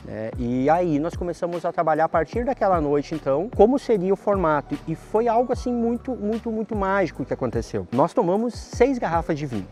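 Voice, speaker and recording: A man's voice: high voice, lively intonation, a little fast, few pauses, darkish tone. A man with a southern Brazilian accent speaking articulately and reflectively in a documentary interview. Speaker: interviewee, literature teacher and poet. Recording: faint music behind the voice.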